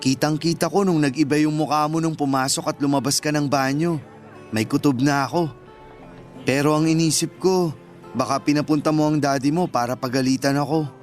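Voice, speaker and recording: An actor playing a polite, earnest young son with a Filipino accent in a radio drama. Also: male voice, fairly high pitch, steady tone, fairly quick, faint music behind, natural voice. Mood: sincere.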